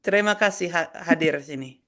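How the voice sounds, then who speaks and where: A woman's voice: lowish voice, fast talker, a crowd in the background. Woman chairing a video-call meeting. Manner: brief, prompting others by name. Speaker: meeting facilitator. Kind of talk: online meeting chat.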